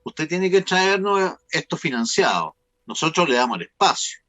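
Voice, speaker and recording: A man's voice: a little high, big pitch swings, normal speed, a bit bright, dry recording. A man with a Chilean accent hosting a casual interview, often interjecting with short acknowledgements and questions. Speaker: interviewer and show host.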